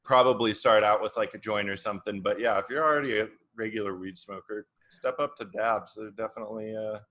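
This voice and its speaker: A man of thirty from Oregon, a podcast guest, chatting in a relaxed, rambling way with many fillers. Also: lowish voice, a little fast. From Oregon, USA. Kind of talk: casual chat.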